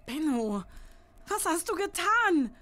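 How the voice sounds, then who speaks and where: A woman's voice: very high-pitched, very animated, slow pace, a bit bright, heavily acted. A woman playing an anxious, protective middle-aged mother in a horror audio drama, earnest and commanding. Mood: alarmed, accusing.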